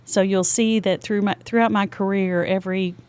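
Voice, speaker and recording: A woman's voice: medium pitch, steady tone, normal speed, darkish tone, clean tone. An older woman with a Texas accent, chatting freely as a podcast guest in a studio. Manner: chatty and talkative. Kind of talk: casual interview chat.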